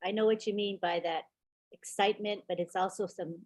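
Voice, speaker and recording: A woman's voice: mid-range voice, steady tone, a little mellow, no room sound. Woman host, upbeat and encouraging, running a video call; dry, close sound. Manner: upbeat and encouraging, drawn-out introductions. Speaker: host of an online group call. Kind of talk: casual moderating on a video call.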